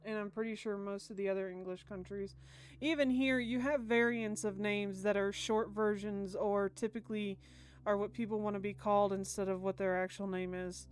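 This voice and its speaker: A woman's voice: mid-range voice, fairly even intonation, normal speed, smooth. An American woman streaming a game, chatting casually with stammered, repeated words. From United States. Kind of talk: casual livestream commentary and reading aloud.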